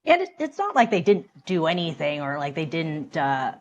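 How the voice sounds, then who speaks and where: Deep-voiced woman talking sports. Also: big pitch swings, moderate pace, highs cut off. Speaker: sportswriter, panel guest. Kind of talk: casual sports analysis discussion.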